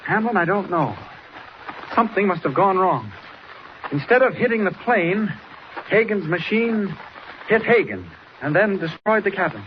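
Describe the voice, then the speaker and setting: An actor playing a genial, confident newspaper reporter in a 1940s radio serial. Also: male voice, high-pitched, sing-song, normal speed, long pauses. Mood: assured. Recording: noisy, muffled old radio recording.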